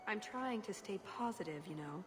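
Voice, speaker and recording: An actress playing a plucky, optimistic schemer with a playful yet earnest manner in a video game. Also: female voice, mid-range voice, lively intonation, normal speed, long reverb tail, noisy background, lightly acted. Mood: scheming, hopeful.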